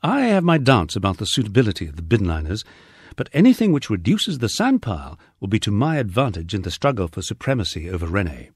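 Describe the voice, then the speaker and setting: A man's voice: fairly low pitch, big pitch swings, fast, husky, no echo, studio quality. A man narrating a scripted first-person memoir as an audiobook, in a wry storytelling manner.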